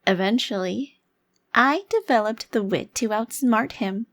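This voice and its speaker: A woman's voice: fairly high pitch, unhurried. A woman playing a haughty, centuries-old vampire crime lord trapped in a child's body, speaking formally in an ASMR roleplay. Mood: grim, defiant.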